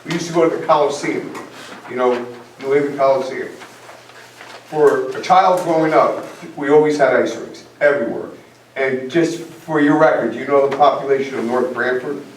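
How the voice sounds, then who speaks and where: A man's voice: medium pitch, normal speed, a little hiss or hum. A man from Connecticut on a town commission, arguing insistently and repeating himself at a public meeting. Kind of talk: heated public meeting debate. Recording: echoey hall from far off.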